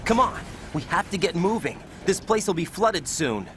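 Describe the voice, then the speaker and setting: An actor playing an earnest young soldier in a video game, speaking in an urgent yet reassuring manner. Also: male voice, high-pitched, big pitch swings, normal speed, a bit bright, a little hiss or hum. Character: earnest young male soldier on a rescue mission. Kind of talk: dramatic game cutscene acting.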